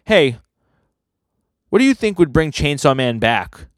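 A man's voice: fairly high pitch, big pitch swings, unhurried, dry recording, clean studio sound, pitched above the performer's own voice. A man voicing a brash, crude, hot-headed teenage boy while reading manga dialogue aloud. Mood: surly.

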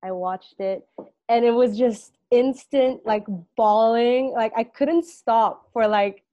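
A young woman from Singapore talks easily as an interview guest, leaning on "like" and letting her sentences fade out softly. Her audio sounds a bit dull and muffled, close and dry with no room around it. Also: on the high side, slow pace, no room sound. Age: young adult. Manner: chatty, heavy use of "like", trails off softly at phrase ends. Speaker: guest, student intern journalist. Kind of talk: casual interview chat.

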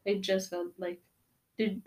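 A woman's voice: medium pitch, little pitch movement, a little slow, a little mellow. A woman in her twenties co-hosting a podcast, chatting casually with a giggly manner and plenty of slang and fillers. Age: 20s. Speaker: podcast co-host. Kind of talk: casual chat.

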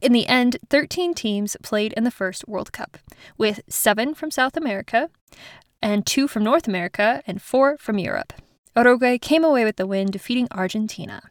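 A woman's voice: on the high side, big pitch swings, normal speed, no room sound, clean studio sound. A woman hosting an educational podcast in a casual, chatty way, laughing at her own slips. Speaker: podcast host.

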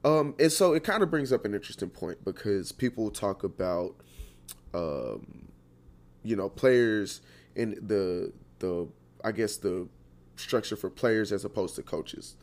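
A podcast host, slightly hoarse, talking in an animated, joking way. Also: male voice, lowish voice, a little slow. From Houston, Texas. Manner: animated, laughing storyteller. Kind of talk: casual chat.

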